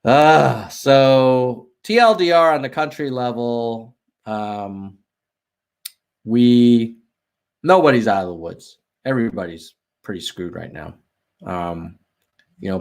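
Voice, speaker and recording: American man, livestream host, slow unhurried delivery, lots of ums, talks to chat. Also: on the low side, creaky, a little mellow. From United States. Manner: musing aloud, frequent ums and self-corrections; reads viewer chat aloud. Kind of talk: casual data walkthrough on livestream.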